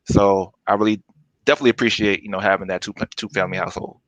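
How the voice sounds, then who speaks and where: A man, a podcast guest talking in a halting way with many fillers and restarts. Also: lowish voice, fairly even intonation, a bit bright, rough recording. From Brooklyn, New York. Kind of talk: casual interview chat.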